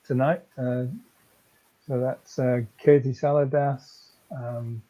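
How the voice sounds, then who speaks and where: A man's voice: mid-range voice, little pitch movement, slow, dark tone. A man co-hosting a live book reading, chatting casually in a wry, rambling way. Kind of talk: casual group chat. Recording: muffled, band-limited sound.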